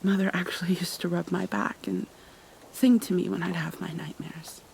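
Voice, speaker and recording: A woman playing a tender, obsessive female kidnapper soothing her captive in an intimate ASMR roleplay, speaking softly and haltingly, often in breathy whispers. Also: fairly low pitch, level tone, poor audio. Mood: haunted, wistful. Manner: hesitant, halting, coaxing. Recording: clear background noise, rain ambience.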